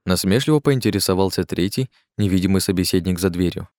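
Low-voiced male audiobook narrator. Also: fast talker, darkish tone, dry recording, studio quality. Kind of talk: scripted narration.

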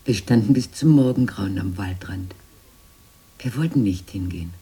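An anxious sister in a radio play, looking back on a dark childhood. Her voice is dark and husky, often sinking close to a whisper. She speaks haltingly, with a weary weight. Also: rumbling low, expressive, full character voice. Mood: somber, remembering. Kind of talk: radio drama acting.

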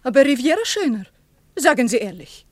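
An actress playing a provocative young Soviet spa guide who asks blunt, probing questions in clipped sentences in a radio play. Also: female voice, high voice, sing-song, fairly quick, no echo. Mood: provocative, pressing. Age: young adult.

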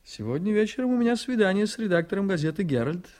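A man's voice: sits high, big pitch swings, fast talker, a little mellow. An actor playing an ambitious, jovial, scheming district attorney in a radio drama, theatrical and exclamatory, repeating his phrases. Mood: confident, animated. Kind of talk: Soviet-style radio drama acting.

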